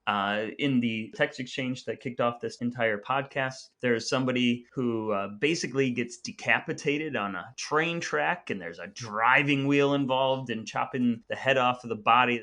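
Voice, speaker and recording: A man co-hosting a podcast, talking through music history in a conversational, storytelling way with measured phrasing. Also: medium pitch, sharp tone. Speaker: podcast co-host.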